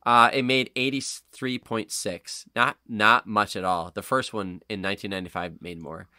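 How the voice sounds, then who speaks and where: A man co-hosting a podcast in casual, animated, sweary conversation. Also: fairly low pitch, normal speed, no echo, studio quality. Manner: animated, profane. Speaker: podcast co-host. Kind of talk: casual chat.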